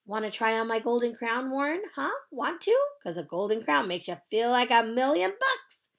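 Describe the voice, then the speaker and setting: A chatty little princess-costumed girl voiced by a woman bubbles along in a high, sing-song voice. Her pitch leaps all over, with a slight gravelly edge underneath. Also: sing-song, normal speed, muffled recording. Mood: eager chatter.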